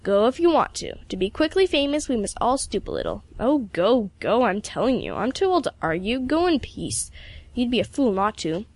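A gruff old man, acted out by a woman narrator, cranky and opinionated. He grumbles and declaims with emphasis, like a storybook mentor who has no patience left. The sound is close and dry. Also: fairly high pitch, sing-song, moderate pace, a little mellow, no echo. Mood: exasperated.

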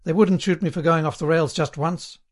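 A chummy, servile party man, as a male narrator puts him on, pitched on the high side. He chats eagerly and earnestly, sententious and sanctimonious, as a character in an audiobook novel. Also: steady tone, brisk, lightly acted. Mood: pleading, servile.

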